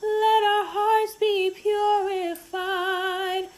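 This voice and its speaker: A woman's voice: extremely high pitch, little pitch movement, very slow, faint music behind. A woman singing a devotional song. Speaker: singer.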